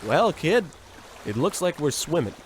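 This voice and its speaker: A man's voice: a little high, very animated, unhurried, soft background music. A man playing a pompous, self-absorbed, insulting adventurer in an old-time radio comedy. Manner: pompous, bossy, theatrical.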